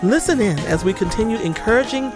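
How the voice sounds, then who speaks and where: A woman's voice: fairly high pitch, expressive, brisk, over music. A woman hosting a podcast, reading a scripted intro and then chatting with a guest in an upbeat, enthusiastic way. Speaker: podcast host.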